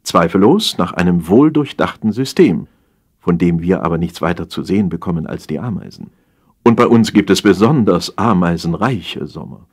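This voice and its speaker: A man narrating a humorous satire as an audiobook reader, in an expressive, measured storytelling manner. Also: low-pitched, husky.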